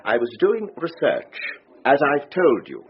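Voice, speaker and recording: An actor playing a prickly, pedantic, indignant amateur historian in a radio drama. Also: male voice, on the high side, huge pitch swings, measured pace, breathy edge, exaggerated voice. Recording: muffled radio drama, faint noise.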